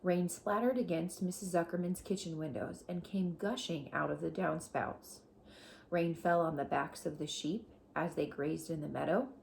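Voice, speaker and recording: Low-pitched, creaky woman reading to children. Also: darkish tone, slight background noise, rough recording. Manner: deliberate, explanatory, with questions to young listeners. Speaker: teacher reading a book aloud to pupils. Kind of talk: children's storybook read-aloud with explanations.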